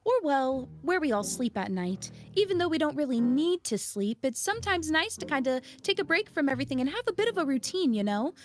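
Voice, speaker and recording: A woman voicing a kind, anxious rag doll in a cartoon fan dub, gentle and nervously upbeat. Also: high-pitched, sing-song, fairly quick, hardly any pauses. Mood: upbeat, reassuring. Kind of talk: animated series fan dub.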